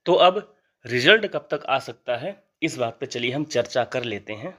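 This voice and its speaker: A man's voice: medium pitch, lively intonation, normal speed, sharp tone. A man hosting an exam update channel, explaining things informally and earnestly to viewers, advising them and repeating points for emphasis.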